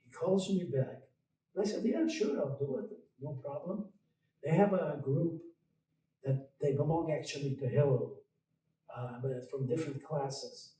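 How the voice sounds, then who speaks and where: An older man, a guest cantor, reminiscing in a halting, gentle way with many restarts, heard on an online call. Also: fairly high pitch, roomy sound, recorded from a distance, poor audio. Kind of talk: casual reminiscing conversation.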